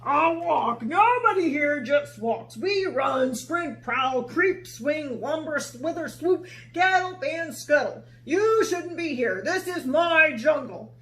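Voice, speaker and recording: A woman's voice: high-pitched, expressive, slow, few pauses, full character voice. A woman voicing a big, bossy male lion who roars and jeers in a children's story. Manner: loud roaring bluster.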